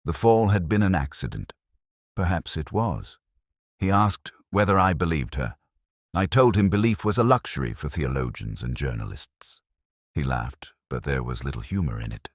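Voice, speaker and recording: A man playing a weary, ageing English detective, narrating a literary drama in a measured, solemn, reflective way. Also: a bass voice, animated, dry recording, clean studio sound. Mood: somber, reflective. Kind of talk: first-person literary audio drama narration.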